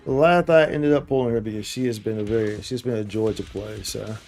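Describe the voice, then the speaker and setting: A man with a mellow, dark-toned voice streams games with faint music behind him. He comments casually on the play as it goes, in loose, slangy, bro-and-dude talk. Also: on the low side, fairly quick, vocal fry. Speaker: game streamer. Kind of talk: casual live gameplay commentary.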